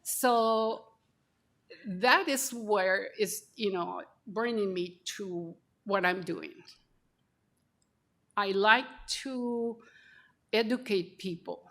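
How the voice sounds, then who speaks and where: Slow-talking woman with a Mexican Spanish accent. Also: medium pitch, creaky, a bit bright. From Mexico City. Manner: slow, halting, with frequent fillers. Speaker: call center representative and speaker. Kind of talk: personal story at a conference session. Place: conference breakout room.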